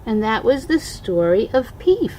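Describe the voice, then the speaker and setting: An older woman, a grandmother, reading a rhyming children's storybook aloud in an expressive, singsong way. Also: a little high, unhurried, a little hiss or hum, low-quality recording.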